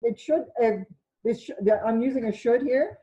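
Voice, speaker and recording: A woman with a loud voice giving an informal spiritual talk to an online group and answering questions, often correcting herself as she goes. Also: on the high side, normal speed, muffled recording. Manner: rambling, self-correcting delivery. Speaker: spiritual teacher hosting an online session. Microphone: video call.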